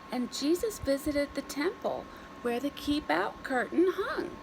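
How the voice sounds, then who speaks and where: A woman reading a story aloud to young children in an expressive, deliberate way, with simple phrases and dramatic pauses. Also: fairly high pitch, noisy background.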